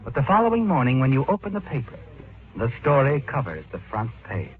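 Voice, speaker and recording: An actor playing a knowing, ominous narrator of a suspense tale, deliberate and dramatic in 1940s radio style. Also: male voice, medium pitch, huge pitch swings, slight background noise. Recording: tinny old radio recording, music behind.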